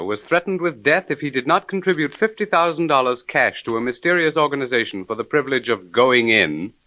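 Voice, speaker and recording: A man's voice: medium pitch, bright, forceful tone, poor audio. A male announcer delivering dramatic scripted narration in the style of a 1930s radio mystery.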